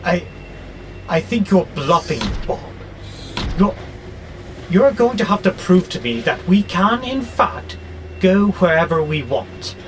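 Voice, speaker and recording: An actor playing a belligerent, sardonic warehouse robot who grumbles with exasperation in an audio drama. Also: male voice, high voice, sing-song, slow, long pauses, a little hiss or hum, heavily acted. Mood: skeptical. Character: grumpy, belligerent male warehouse robot, war-hungry, wary.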